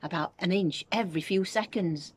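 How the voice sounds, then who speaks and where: Woman teacher reading a kids book out loud, lowish voice, expressive storytelling. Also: normal speed, husky. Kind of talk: expressive children's story narration.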